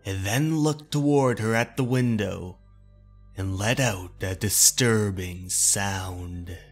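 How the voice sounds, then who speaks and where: Slow-paced man narrating horror. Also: lowish voice, faint music behind. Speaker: narrator and channel host. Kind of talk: scripted horror story narration.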